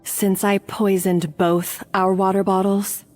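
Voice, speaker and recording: A woman's voice: medium pitch, little pitch movement, slow pace, darkish tone, soft background music, natural voice. Video game accomplice, female, mid-range voice, bitter and defiant, voiced by a woman. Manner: tense, resentful.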